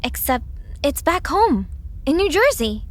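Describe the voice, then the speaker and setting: Woman acting a high-voiced, skeptical young woman. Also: sing-song, measured pace. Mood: insistent. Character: skeptical, insistent young woman, a concerned twin sister. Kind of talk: audio drama acting.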